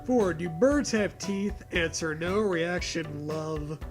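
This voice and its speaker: High-voiced man reading aloud mockingly. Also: takes it slowly, over music. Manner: mocking, profane. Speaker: comedy show co-host reading a story aloud. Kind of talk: reading a creepypasta aloud with joking asides.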